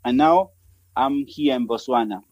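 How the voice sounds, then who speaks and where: A man in his thirties from Argentina, with an Argentine Spanish accent and Spanish as his first language, speaking haltingly as a missionary guest on a podcast. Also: medium pitch, darkish tone, dry recording, highs cut off. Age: 30s. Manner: halting, searching for words in English. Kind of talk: podcast interview.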